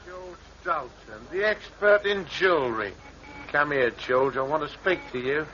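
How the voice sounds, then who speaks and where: An actor playing a threatening gang boss in an old radio crime drama, sneering and menacing. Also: male voice, fairly high pitch, unhurried, sharp tone, slight background noise. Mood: cold. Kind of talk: 1950s radio drama acting. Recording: dull lo-fi old radio recording.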